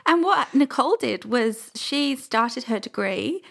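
A woman's voice: fairly high pitch, animated, unhurried, creaky, a bit bright. A woman in her thirties with an English accent, chatting casually and telling stories as an interview guest. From England. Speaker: podcast guest.

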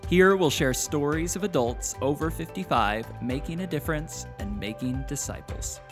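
A man's voice: a little high, normal speed. Man announcing a podcast over music. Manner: smooth, announcer-like delivery. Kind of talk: scripted show intro.

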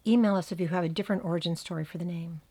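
A woman's voice: on the low side, fairly even intonation, rapid-fire, mellow. Wry New Jersey woman podcast host. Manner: wry, dry asides. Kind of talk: scripted podcast narration.

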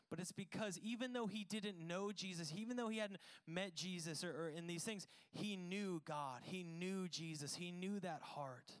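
A man's voice: high voice, smooth. A man preaching a conversational sermon to a congregation, casual and joking, poking fun at himself. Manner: casual, joking, self-deprecating. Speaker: pastor. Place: church service with congregation.

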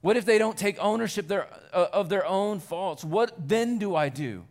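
A pastor preaching a Sunday sermon in a church, conversational and self-deprecating, building to earnest fervor. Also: male voice, high voice, animated, normal speed.

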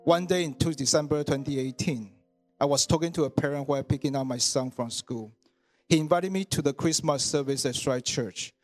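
A man, reading his personal testimony at church in an earnest, measured way. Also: fairly high pitch, soft background music. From Taiwan. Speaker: church member giving testimony.

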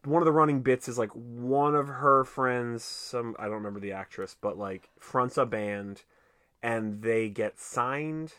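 A man's voice: medium pitch, measured pace, fairly bright tone, no echo. Man, podcast co-host, casual rambling film talk, joking, dry close sound. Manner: loose, joking, lots of "like" fillers. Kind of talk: casual movie discussion. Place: quiet room, recorded late at night.

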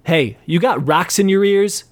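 A man voicing a gruff female sword-carrying adventurer who talks curtly with a growling rasp. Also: medium pitch, animated, normal speed, a little mellow, no room sound, natural voice.

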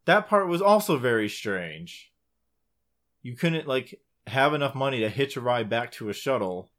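A man's voice: medium pitch, very animated, normal speed, a bit bright. A man co-hosting a podcast, talking informally about video games and swearing casually. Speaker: podcast co-host.

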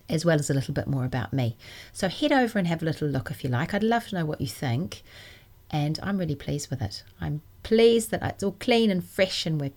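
A woman from New hosting a knitting podcast, chatting casually and enthusiastically, her voice still a little croaky from a cold. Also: low-pitched, a little mellow. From New Zealand. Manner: chatty and enthusiastic, self-deprecating. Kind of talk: casual solo podcast chat.

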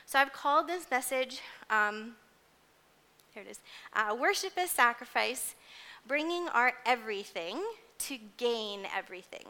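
A woman in her forties speaks to her congregation in a bright, clearly forward voice that sits a little high. She delivers a personal, informal sermon, earnest and conversational, with some hesitancy as she goes. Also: animated, a little slow. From grew up in Indonesia as a missionary kid, later moved to Canada. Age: 40s. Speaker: church music ministry leader giving a sermon.

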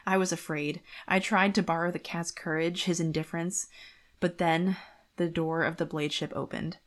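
Woman reading as a solemn, frightened young heroine, low-voiced. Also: little pitch movement, lightly acted. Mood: afraid, somber. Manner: solemn, deliberate, dramatic. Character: frightened, brooding young heroine narrating her nightmare. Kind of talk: dramatic audiobook-style reading.

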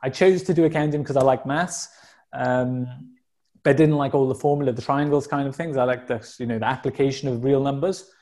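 A man's voice: mid-range voice, steady tone, a little fast, a little mellow. A man in his thirties from South Wales with a Welsh accent, a guest talking openly and reflectively in a casual interview, with frequent fillers and restarts. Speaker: podcast guest.

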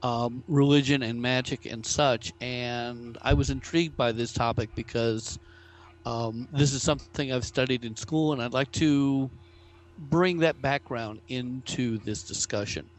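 An American man, a podcast guest, speaking in a measured, academic way and choosing his words slowly. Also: medium pitch, rough recording. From United States. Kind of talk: casual discussion.

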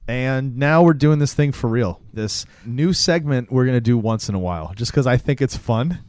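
A man's voice: medium pitch, moderate pace, darkish tone, clear, resonant tone, no echo. A man hosting a podcast, talking in a casual, chatty style. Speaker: podcast host.

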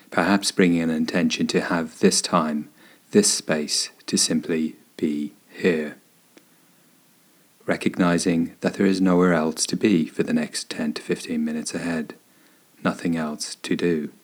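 A man guiding a body scan meditation in a gentle, soothing, unhurried way, pausing between phrases. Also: deep voice, steady tone. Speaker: meditation guide.

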